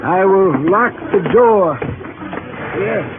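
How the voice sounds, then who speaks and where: High-voiced, nervous old scientist. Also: male voice, animated, noisy background, full character voice. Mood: anxious, distracted. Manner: halting, repeats his words. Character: nervous, secretive old male scientist, fragile and distracted. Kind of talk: 1950s radio drama acting. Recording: muffled 1950s radio recording.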